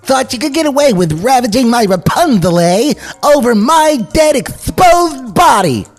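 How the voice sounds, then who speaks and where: A frantic cartoon rabbit hero, pitched very high. The delivery is theatrical and swings wildly, full of bombast and melodrama, as in a comedic script read. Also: male voice, very animated, normal speed, soft background music, exaggerated voice. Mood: indignant bluster.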